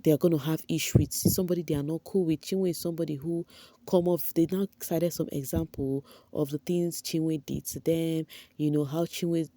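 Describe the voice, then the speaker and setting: A young woman chats straight to her viewers in a breezy, gossipy recap. Her voice sits low and mellow. The sound is close and dry, with no room around it. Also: fairly even intonation, normal speed, no room sound. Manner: breezy, gossipy, with slangy asides and "you know" fillers. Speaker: entertainment vlogger. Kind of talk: chatty gossip recap to camera.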